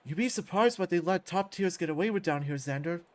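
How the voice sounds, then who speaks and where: A woman's voice: on the low side, animated, fairly quick, lightly acted. A woman voicing a big, hot-tempered male fighter who grumbles and swears, in a visual novel reading. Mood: grumbling.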